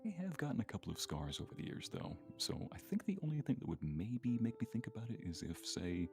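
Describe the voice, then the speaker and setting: A man's voice: fairly low pitch, huge pitch swings, a little fast, music in the background. A man chatting casually as a guest on a livestream, reading and answering questions from viewers. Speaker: guest ASMR narrator and streamer.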